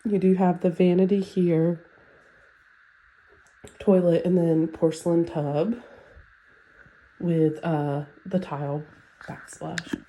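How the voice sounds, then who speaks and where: Woman, stuffy nose, nasal, mellow low voice, chatty house tour. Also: takes it slowly, pauses often, a little hiss or hum, low-quality recording. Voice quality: nasal, congested. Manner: relaxed and chatty, with fillers like "um" and "like". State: stuffy nose from sinus infection. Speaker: real estate agent. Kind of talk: casual home walkthrough tour.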